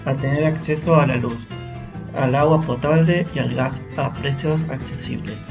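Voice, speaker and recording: A man's voice: mid-range voice, steady tone, moderate pace, vocal fry. A man reading a scripted announcement aloud. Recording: dull lo-fi sound under loud guitar music.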